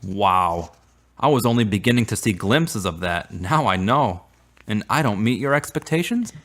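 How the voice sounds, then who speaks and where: Radio drama role, played by a man: sincere, devout, plain-spoken man, lowish voice, saddened in love. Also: lively intonation, moderate pace, a bit bright. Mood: wounded, questioning. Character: earnest, devout man saddened by a fading romance.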